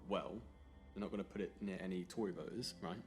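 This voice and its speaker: An actor playing a cynical, contrary young British man, argumentative and deadpan, in a naturalistic radio play. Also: deep voice, quick pace, music in the background. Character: cynical, contrary young friend with bleak theories.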